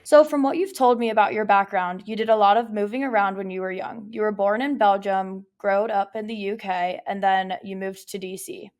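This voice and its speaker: A woman's voice: medium pitch, normal speed, hardly any pauses, darkish tone. A young woman hosting a podcast, reading an upbeat intro and asking questions. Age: young adult. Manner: upbeat, partly scripted intro. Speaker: podcast host.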